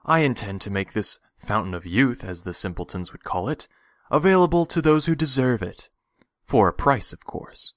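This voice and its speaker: A man playing a greedy, condescending villain in an audio drama, with theatrical, drawn-out phrasing. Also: mid-range voice, animated, a bit bright, no room sound, studio quality, lightly acted. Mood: contemptuous. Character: menacing, greedy, smooth-talking villain stranger, adult man.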